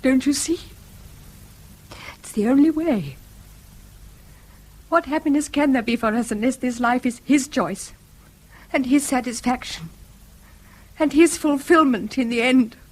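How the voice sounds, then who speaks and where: An actress playing an earnest, strained schoolmaster's wife in a British radio drama, with a proper English accent and emphatic delivery. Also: female voice, sits high, unhurried, long pauses. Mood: anguished. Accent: English, received pronunciation. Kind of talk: mid-century British radio drama acting.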